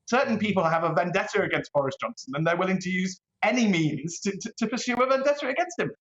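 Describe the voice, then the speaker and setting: Higher-pitched British man in an echoey room. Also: lively intonation, fast, a bit bright. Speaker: barrister interviewed as a guest commentator. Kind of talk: opinionated current-affairs interview. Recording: echoey room, slightly dull sound.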